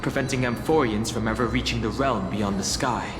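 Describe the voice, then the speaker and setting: Actor as thoughtful male game hero, calm, measured, medium pitch, echoing hall, background music. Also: close to an everyday voice. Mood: pensive. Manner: measured, pondering. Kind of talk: scripted fantasy game acting. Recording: long hall reverb, music behind.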